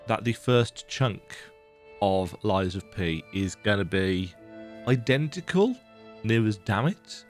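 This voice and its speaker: A man with a low, croaky voice talks through a video game in a chatty, rambling way. He pokes fun at himself as he goes. Faint music plays behind him. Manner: chatty, self-deprecating, rambling. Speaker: let's play gamer. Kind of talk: casual gameplay commentary.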